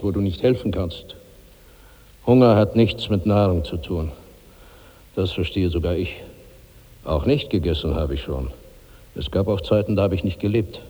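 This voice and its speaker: A man's voice: sits low, warm tone, lo-fi sound. An actor in a 1960s German radio drama playing a huge, heavy old man tormented by bottomless hunger, in declamatory, incantatory repetition. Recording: long hall reverb on old radio recording.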